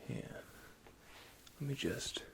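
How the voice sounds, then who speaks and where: A man playing a nervous, caring android repair technician in an ASMR roleplay, speaking in a soft, breathy half-whisper with hesitant stammers. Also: takes it slowly, very noisy, lightly acted. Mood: apologetic, fussing.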